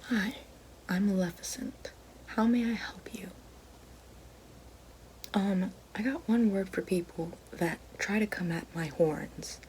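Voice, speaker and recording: A woman playing a bitter, sassy fallen evil fairy working a help line, in a breathy whispered ASMR roleplay with slangy sarcasm. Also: slow, long pauses, a little hiss or hum, close to an everyday voice, rough recording. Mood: sassy, defensive. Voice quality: breathy whisper mixed with soft low speech.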